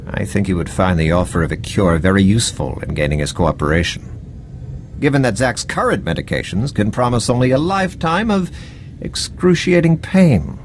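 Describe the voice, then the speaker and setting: An actor playing a cold, ruthless military officer in a video game, formal, precise and menacing. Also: male voice, on the low side, slight background noise. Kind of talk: video game dialogue acting.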